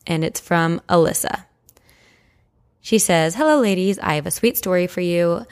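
A woman co-hosting a podcast, chatting casually and reading stories in an expressive, reassuring, conversational way. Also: on the low side, normal speed, clean tone. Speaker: podcast co-host. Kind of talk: casual paranormal podcast chat and story reading.

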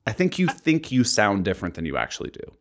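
Deep, low-pitched man chatting on a podcast. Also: huge pitch swings, fast talker. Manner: rambling, heavy use of "like". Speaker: podcast co-host. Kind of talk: casual podcast chat. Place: apartment. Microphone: voice mic a couple inches from mouth.

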